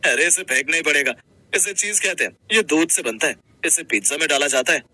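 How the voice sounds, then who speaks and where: A man's voice: fairly high pitch, brisk, pushed and bright, dry recording. A man playing a friendly young restaurant manager in a Hindi cartoon story, explaining things in a casual, businesslike way.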